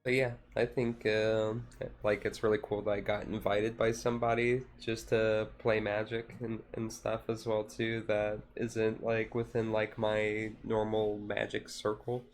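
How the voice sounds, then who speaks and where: A man chatting casually on a chill livestream, rambling and halting as he goes. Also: fairly low pitch, monotone, a little hiss or hum. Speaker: streamer.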